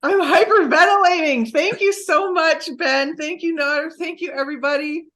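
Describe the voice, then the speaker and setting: A woman guest speaker giving an excited, gushing motivational talk with a storytelling manner. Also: very high voice, moderate pace.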